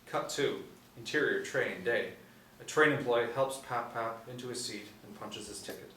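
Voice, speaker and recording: A man's voice: mid-range voice, normal speed, pushed and bright. A man reading scripted stage directions as narrator at a table read. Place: indoor room, seated reading panel. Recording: roomy, distant mic, faint noise.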